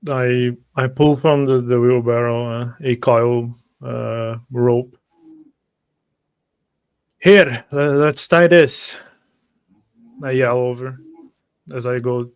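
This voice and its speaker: A man's voice: mid-range voice, steady tone, takes it slowly, pauses often, clean tone. A man playing a tabletop roleplay game, narrating his actions in first person and often repeating words hesitantly.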